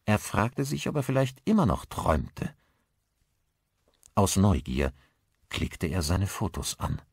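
Deep-voiced, expressive male audiobook narrator. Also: vocal fry, breathy edge, dry recording, clean studio sound. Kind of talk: scripted dramatic narration.

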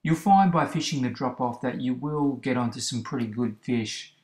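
A man, host of a fishing channel, narrating instructional tips in a steady, explanatory way. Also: mid-range voice, normal speed. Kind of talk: instructional voice-over about kayak fishing spots. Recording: roomy, echoey recording.

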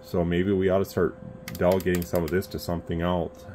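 A man's voice: sits low, brisk. A man talking casually and earnestly, giving rambling opinions as a hobbyist video reviewer. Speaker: YouTube radio reviewer. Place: indoor radio workbench room. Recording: clear steady background room noise.

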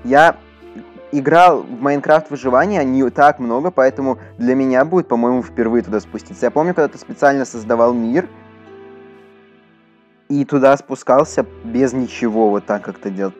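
A man's voice: medium pitch, moderate pace, darkish tone, highs cut off, faint music behind. Casual male gamer chatting over gameplay. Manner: chatty, talks to his horse and to viewers. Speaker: gaming YouTuber. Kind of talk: casual Minecraft let's play commentary.

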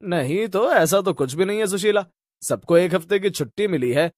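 A friendly company manager in a cartoon, acted by a man. He is chatty and familiar, with a raised pitch and a dry, close sound. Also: expressive, moderate pace, no echo. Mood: friendly, puzzled. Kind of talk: animated story dialogue.

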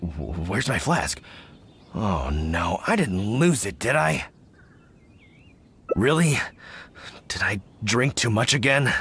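A man's voice: lowish voice, animated, slow, long pauses, fairly bright tone, husky, exaggerated voice. An actor playing a tipsy, sheepish, doting middle-aged hunter father in a video game, rambling and hesitant. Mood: dazed, confused.

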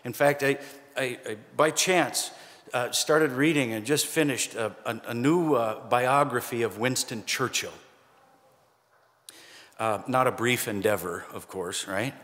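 A middle-aged male pastor delivers a sermon at a pulpit in a church sanctuary. He speaks in a conversational way with gentle humor and pauses for emphasis. His voice sits at a medium pitch and carries a long church reverb. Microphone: pulpit microphone, far camera.

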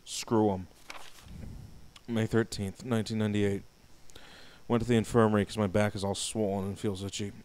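A man's voice: fairly low pitch, level tone, normal speed, pauses often, a little hiss or hum. Man reading a game diary aloud. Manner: laughs loudly, reads the diary with mock drama. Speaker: let's play co-host. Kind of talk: casual commentary and dramatic diary reading.

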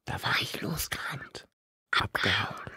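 An actor playing an eerie, dreamy runaway son in a radio drama, whispering in gravelly fragments. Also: measured pace, close to an everyday voice. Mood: eerie, whispered.

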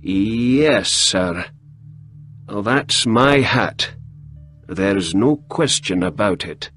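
A man voicing a poor, gentle older gentleman who speaks slowly, quietly and carefully. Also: fairly low pitch, expressive, faint music behind, heavily acted. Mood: hesitant.

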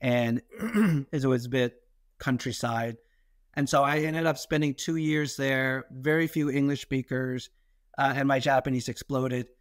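Middle-aged male, American accent, mid-pitched voice. Reflective, halting interview delivery, recorded dry. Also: fairly even intonation, no echo. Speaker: podcast guest, investor. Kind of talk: conversational life-story interview.

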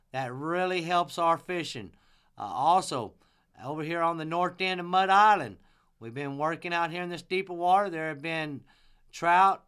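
Man, high smooth voice, folksy fishing guide, informal report narration. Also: a bit bright. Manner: folksy, plain-spoken. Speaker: fishing guide and charter captain.

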